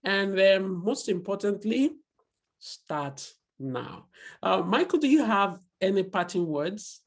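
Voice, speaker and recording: A woman's voice: mid-range voice, sing-song, slow pace. A woman hosting an online investment club interview, earnest and encouraging. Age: 40s. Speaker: host.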